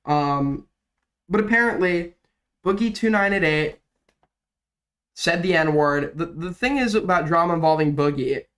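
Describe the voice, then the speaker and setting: A man's voice: fairly high pitch, expressive, unhurried, long pauses, fairly bright tone. A young man doing casual, rambling commentary on a livestream, sick and coughing, his voice cracking now and then, with lots of fillers and swearing. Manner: rambling, profane, filler-heavy. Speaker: drama commentary streamer.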